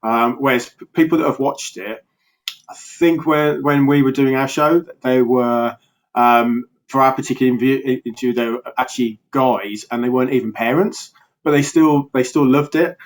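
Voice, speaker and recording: A man's voice: mid-range voice, steady tone, moderate pace. A man from England with a Birmingham accent, chatting casually as a podcast guest over a remote call, with frequent restarts and easy laughter. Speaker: podcast guest, health coach. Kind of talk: casual interview chat.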